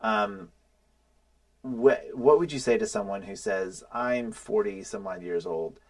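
A man's voice: fairly low pitch, a little slow. A man in his forties co-hosting a podcast, talking casually and acting out what listeners might say. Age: 40s. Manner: animated, restarts sentences, acts out quoted listeners. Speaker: podcast co-host. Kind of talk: casual conversational discussion.

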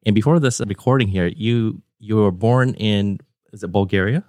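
A man's voice: lowish voice, moderate pace, a little mellow, dry recording, studio quality. A man of about forty hosting a podcast interview over a remote call, talking in a conversational, enthusiastic and discursive way. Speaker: podcast host.

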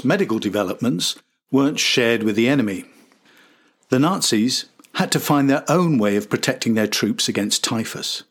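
A man's voice: mid-range voice, slightly rough. A man hosting and narrating a scripted historical documentary. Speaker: podcast host and narrator.

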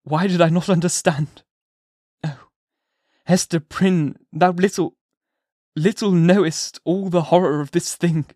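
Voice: mid-range voice, darkish tone, no echo, voice pushed up, natural voice. Tormented old-time preacher, as a reader does him, raised anguished voice, dry sound. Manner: grieving, archaic speech. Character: tormented, guilt-stricken male minister. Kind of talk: audiobook character voice.

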